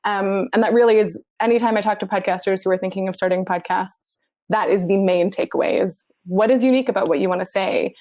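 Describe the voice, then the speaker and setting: Encouraging woman with a medium-pitched voice. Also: quick pace, a little mellow. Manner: encouraging, explanatory. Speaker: podcast professional giving advice as a panelist. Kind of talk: informal advice in a panel discussion.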